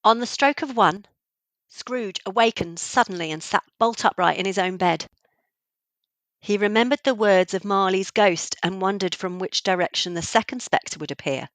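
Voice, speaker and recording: A woman giving measured, scripted narration for a radio play, in a storybook manner. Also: mid-range voice, fairly bright tone, no echo, close to an everyday voice. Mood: measured, descriptive.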